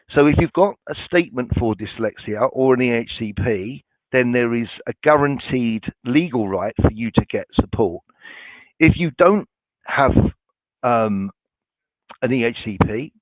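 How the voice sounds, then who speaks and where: A man's voice: medium pitch, no echo. A man from a college staff answering viewer questions in a webinar, informal and reassuring, with many ums and restarts. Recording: dry, tinny phone-like sound.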